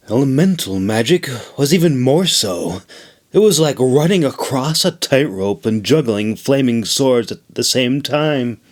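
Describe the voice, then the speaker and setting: A man reading his own fantasy novel as a scripted audiobook narration, with deliberate, measured phrasing. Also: mid-range voice.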